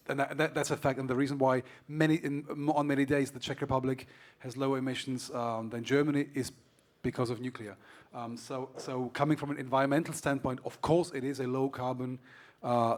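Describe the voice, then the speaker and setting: A man's voice: medium pitch, steady tone, brisk. A man who grew up in Vienna, speaking frankly on a conference panel as a solar association representative, often restarting his sentences. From Austria. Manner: frank, many restarts and repeated words. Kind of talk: panel discussion.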